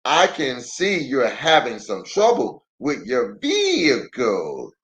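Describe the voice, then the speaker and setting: A man's voice: mid-range voice, wildly swooping pitch, slow pace, fairly bright tone, exaggerated voice. A man voicing a friendly, folksy country neighbor in a children's storybook, with hearty backwoods phrasing. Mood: hearty, helpful.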